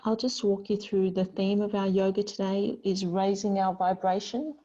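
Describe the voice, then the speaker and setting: A woman teaching a yoga class, giving gentle, unhurried guidance with pauses between phrases. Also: mid-range voice, little pitch movement. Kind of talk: guided yoga class instruction. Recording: slightly dull, lo-fi sound.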